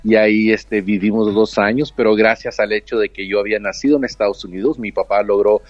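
A man's voice: lowish voice, steady tone, fairly quick, no echo. Earnest male nurse, English native with a Mexican accent, heard over a dull, dry remote line. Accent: Mexican Spanish. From United States, raised with Mexican family. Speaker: guest, nurse and author. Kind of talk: storytelling interview answer.

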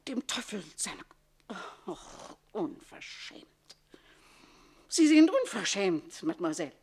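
A scheming middle-aged governess in a radio comedy. Her voice swings widely in pitch as she delivers mock-polite barbs with biting emphasis. She is jealous, sharp-tongued and theatrical. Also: very animated, takes it slowly, husky, full character voice. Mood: venomously polite.

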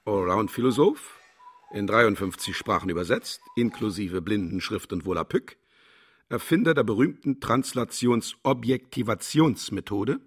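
Pompous, husky male boss, wildly expressive. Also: on the low side, normal speed. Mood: grandiose. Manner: grandiose, theatrical. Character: pompous, cynical male slaughterhouse personnel chief posing as philosopher. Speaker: actor in a radio play. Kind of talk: German satirical radio play acting.

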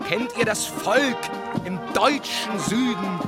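High male voice singing a slow patriotic song. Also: expressive, loud music behind the voice.

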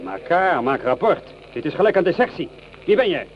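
An actor playing a bossy army lieutenant in a radio play, barking curt commands. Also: male voice, high voice, expressive, a little fast, a little hiss or hum. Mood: curt, commanding. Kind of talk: Dutch radio play acting. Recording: dull old radio recording.

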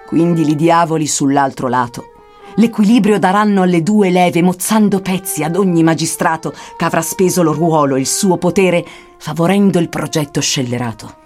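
Woman acting stern prophetic guide, dramatic verse recitation, archaic rhymes, lower register, light music bed. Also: moderate pace, darkish tone, lightly acted. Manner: declamatory, archaic rhymed delivery. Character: solemn male poet guide prophesying infernal punishments. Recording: music behind the voice.

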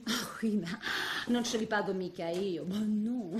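An actress playing a callous, money-minded daughter-in-law, showy and affected, in a comic stage monologue. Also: female voice, medium pitch, unhurried, slight background noise, pitched below the performer's own voice, close to an everyday voice. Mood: indignant, defensive. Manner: showy, affected, peppered with French interjections. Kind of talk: theatrical comic monologue, one side of phone call.

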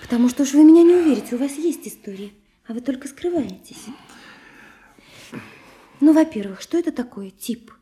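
Radio drama, naive young woman: very high, mellow voice, lively and curious, playfully pressing with questions. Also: fairly quick, pauses often. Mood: eager, teasing. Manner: girlish, eager, teasing, pressing with questions. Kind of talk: Russian radio play acting.

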